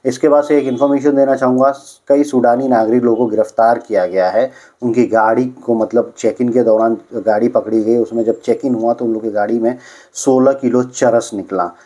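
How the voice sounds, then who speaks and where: A man with a soft, dark, mellow voice at a middling pitch. He presents news updates conversationally, straight to his viewers. He explains things by restating them and drops in English words. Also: steady tone, brisk. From Uttar Pradesh, India. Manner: explanatory, repeats and rephrases points, mixes in English words.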